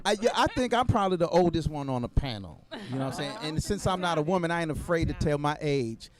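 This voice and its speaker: A man of fifty-one hosting a lively panel chat show, teasing his guests playfully and cheering them on. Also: a little high, fast, a crowd in the background. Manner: playful, teasing, encouraging with frequent affirmations. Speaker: host.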